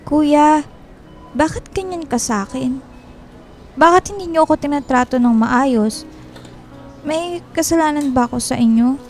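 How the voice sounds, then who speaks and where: High-pitched timid young girl. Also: a little slow, long pauses, darkish tone. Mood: hurt, questioning. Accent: Filipino. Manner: hesitant, meek and polite, pleading. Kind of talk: Filipino radio drama acting. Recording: music bed behind the voice.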